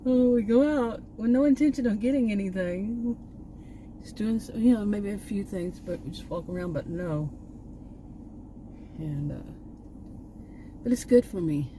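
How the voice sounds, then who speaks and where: A woman vlogger chatting casually, meandering and restarting her sentences, her voice going hoarse. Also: medium pitch, measured pace, pauses often, warm tone, slight background noise. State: losing her voice. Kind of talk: rambling casual chat. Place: outdoors.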